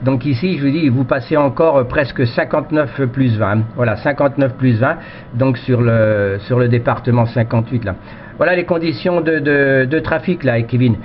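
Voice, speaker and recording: A man's voice: mid-range voice, normal speed. A man from France, an amateur radio operator, chatting casually over the radio in a rambling way full of verbal tags, on a headset microphone. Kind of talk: casual radio chat, unscripted. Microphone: cheap headset microphone. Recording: dull, noisy radio transmission.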